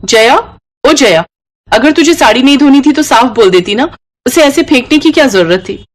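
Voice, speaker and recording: A feisty young Indian daughter-in-law as a woman narrator acts her out for a moral storybook. The voice sits raised in pitch, with a teasing, quarrelsome bite and quick temper. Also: expressive. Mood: angry, reproachful.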